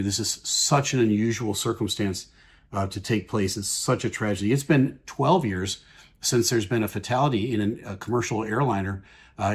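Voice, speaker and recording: A man, a pilot, calmly explains air traffic radio calls in measured commentary with frequent pauses. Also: fairly low pitch, breathy edge.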